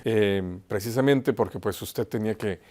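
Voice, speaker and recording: A man with a somewhat low voice hosts an interview. He builds his questions conversationally, hesitating and often repeating words along the way. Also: moderate pace, a little mellow. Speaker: interviewer, host.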